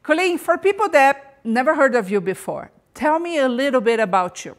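Brief: enthusiastic female podcast host, slightly high voice, video-call sound. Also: big pitch swings, moderate pace. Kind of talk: live podcast interview.

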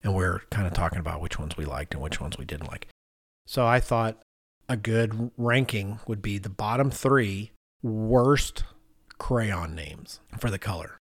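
A man's voice: on the low side, unhurried, husky, no echo, studio quality. A middle-aged man co-hosting a podcast, telling stories in a casual, conversational way and acting out what people said. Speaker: podcast co-host.

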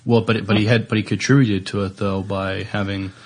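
A man with a fairly low voice and very flat intonation chats casually as a podcast panelist. His delivery is halting, feeling its way through each sentence. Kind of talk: casual discussion of TV science fiction.

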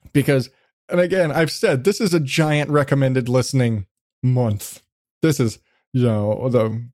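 Male podcast host, slightly high voice, dry close sound. Rambling, self-deprecating, sweary, conversational. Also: darkish tone, no echo. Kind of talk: casual chat interview.

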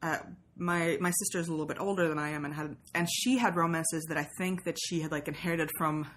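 A woman's voice: low-pitched, steady tone, a little fast. A woman from Sweden who spent part of her childhood in the United States, chatting casually in an interview in a rambling, explanatory way. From Sweden, with childhood years in the United States. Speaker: podcast co-host being interviewed.

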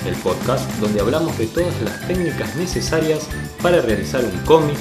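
A man's voice: medium pitch, expressive, moderate pace. A man with an Argentine accent presenting a podcast and chatting as its host. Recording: roomy echo, faint music behind.

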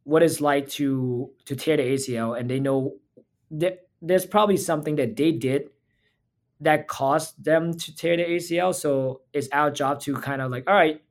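A man's voice: a little high, steady tone, moderate pace. Earnest man from Thailand. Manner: earnest, reflective. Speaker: podcast guest, physical therapist. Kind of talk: casual interview talk.

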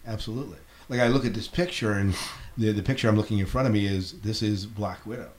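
A man's voice: on the low side, quick pace, darkish tone, a little hiss or hum. A man talks casually as a podcast host. He thinks aloud, circling back and restarting his phrases.

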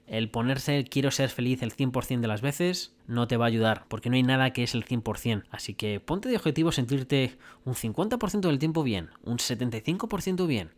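A man giving an earnest motivational monologue as a self-help podcast host, talking straight to the listener and swearing for emphasis. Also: mid-range voice. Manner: earnest, repetitive, addresses listener directly with "oye" and "mira".

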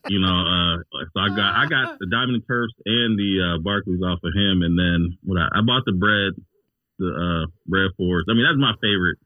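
A man's voice: low-pitched, moderate pace, no echo, phone-quality sound. A man chatting casually on a sneaker podcast, often repeating and rephrasing as he goes.

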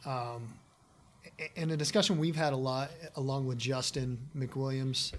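A man hosting an interview, asking questions in a halting way with stumbles. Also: medium pitch, steady tone, a bit bright. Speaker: podcast host.

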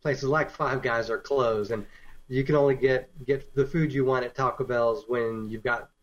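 Man, mid pitch, low-key, hesitant, muffled lo-fi sound. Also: steady tone, normal speed. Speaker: podcast co-host. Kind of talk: casual tech podcast chat. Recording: dull lo-fi sound.

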